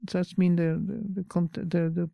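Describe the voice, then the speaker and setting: A woman with a French accent giving a slow, pausing dharma talk as a meditation teacher. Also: fairly low pitch, level tone, creaky, no echo, lo-fi sound.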